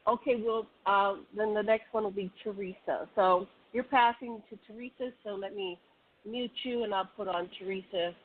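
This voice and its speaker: Brisk, practical woman moderator, phone-quality audio with light hiss. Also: medium pitch, fairly even intonation. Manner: brisk, practical, gives instructions. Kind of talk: moderating a call-in panel. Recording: tinny phone line with hiss.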